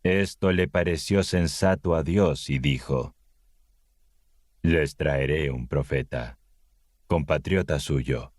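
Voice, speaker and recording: A man with an extremely low voice narrates scripture like an audiobook. He reads slowly and solemnly, pausing deliberately between phrases. The sound is close and dry. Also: a little mellow, dry recording.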